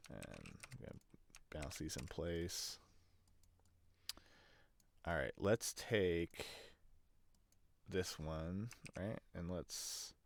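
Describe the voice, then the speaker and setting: A man with a low-pitched voice talks through an audio production demonstration at an unhurried, slow pace. He is casual and unscripted, often hesitating as he works things out aloud. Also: vocal fry, a little mellow, a little hiss or hum. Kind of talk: casual unscripted screen-recorded tutorial. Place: indoors at a computer.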